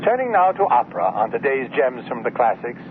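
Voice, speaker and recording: Man acting male radio announcer, formal, swooping pitch, tinny distant broadcast with noise. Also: sing-song, brisk, lightly acted. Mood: formal, smooth. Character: polished male classical music radio announcer.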